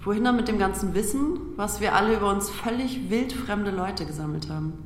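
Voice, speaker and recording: A woman's voice: mid-range voice, brisk. A woman speaking casually and colloquially, as if putting questions to others. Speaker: participant in an audio theatre piece. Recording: roomy, faint noise.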